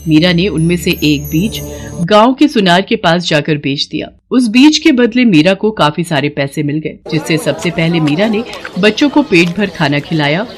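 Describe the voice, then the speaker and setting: A woman's voice: fairly low pitch, expressive, normal speed, soft background music. A woman narrating a scripted moral story as a storyteller.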